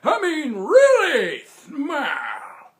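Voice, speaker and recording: A man voicing a storybook grandfather, old, toothless and indignant, with a lisp and drawn-out, loud exclamations. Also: very high-pitched, huge pitch swings, voice pushed up, exaggerated voice. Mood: suspicious. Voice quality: lisping, toothless speech.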